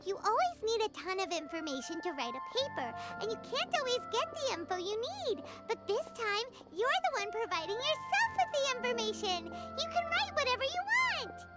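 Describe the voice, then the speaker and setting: A woman voicing a small, excitable, childlike fairy companion with a squeaky voice in a video game. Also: normal speed, hardly any pauses. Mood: excited. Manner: excitable, refers to self in third person. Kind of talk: animated game voice acting. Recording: music behind the voice.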